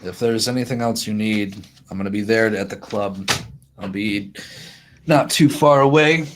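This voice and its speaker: A man voicing a cranky male bodyguard in a tabletop game, speaking deadpan and haltingly. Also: fairly low pitch, background noise, close to an everyday voice. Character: gruff male bodyguard, dry and protective. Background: faint music.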